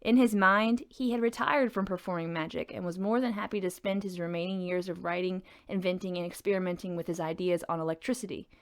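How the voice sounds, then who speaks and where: A woman's voice: fairly low pitch, fairly even intonation, brisk, hardly any pauses. A woman reading a scripted historical story as a narrator.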